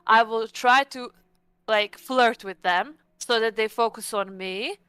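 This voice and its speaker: A woman's voice: fairly high pitch, unhurried, fairly bright tone, clean tone, no room sound. A woman playing a tabletop roleplaying game, bantering playfully and casually in and out of character.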